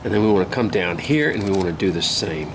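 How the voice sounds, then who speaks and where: An older man with a hoarse voice from a cold, casually talking through a woodcarving demonstration in an easygoing, folksy way. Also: low-pitched. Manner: easygoing, folksy, unhurried, with many pauses. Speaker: woodcarving instructor. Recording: steady outdoor ambient noise.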